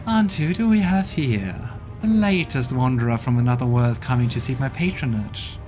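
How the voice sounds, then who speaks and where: A man's voice: medium pitch, moderate pace, darkish tone, lightly acted. A man voicing a proud, scornful female demon ruler from a role-playing game, speaking in a smug and venomous way. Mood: mocking. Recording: game music playing behind.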